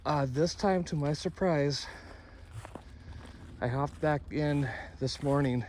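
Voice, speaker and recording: A man records a casual hobby vlog in an average-pitched voice. He rambles candidly, pausing and rewording as he goes. Clear ambient noise sits behind his speech. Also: a little mellow. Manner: halting, self-correcting, candid. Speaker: amateur radio hobby vlogger.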